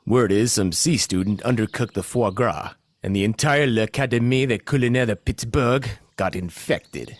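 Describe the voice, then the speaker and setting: Cocky low-voiced zombie squad leader, acted by a man. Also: normal speed, hardly any pauses, no room sound, close to an everyday voice. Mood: matter-of-fact. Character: cocky, chatty male zombie extraction team leader. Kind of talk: comedic audio play acting.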